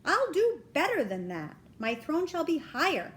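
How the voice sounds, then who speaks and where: A woman's voice: high voice, wildly swooping pitch, moderate pace, a bit bright, exaggerated voice. A woman voicing a vain, bossy turtle king from a children's storybook, with pompous, commanding exclamations. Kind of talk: children's storybook read-aloud.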